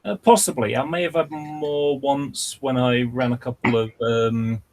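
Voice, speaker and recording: A man in his forties chatting casually as host of an online group call, with a hesitant, halting delivery. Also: medium pitch, darkish tone. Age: 40s.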